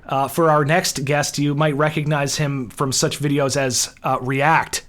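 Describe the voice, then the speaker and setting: A man's voice: a little high, flat delivery, a little slow, a bit bright. A man working as a podcast host, introducing guests and bantering casually. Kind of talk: casual show hosting and banter.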